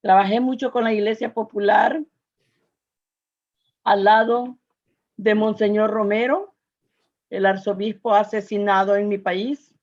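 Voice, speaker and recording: An older woman with a Salvadoran Spanish accent gives a personal testimony to an audience, speaking earnestly and haltingly, with searching pauses and repetitions. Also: mid-range voice, steady tone, darkish tone, lo-fi sound.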